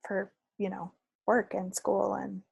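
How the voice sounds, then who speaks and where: Female podcast co-host: husky with plenty of fry, wry and playful in casual chat. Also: medium pitch, monotone, takes it slowly, darkish tone, no echo, highs cut off.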